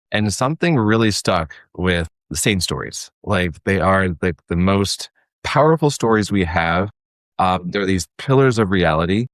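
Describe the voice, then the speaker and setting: A man with a deep voice talks as a guest in an interview. He tells his story casually and informally, acting out bits of remembered dialogue, with a very dry sound. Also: dry recording. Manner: informal, peppered with "like" and quoted dialogue. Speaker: podcast guest, actor and audio drama producer.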